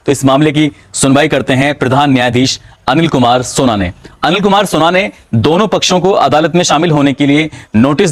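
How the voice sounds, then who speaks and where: A man narrating a true-crime story in a measured, dramatic storytelling manner. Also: on the high side, dry recording.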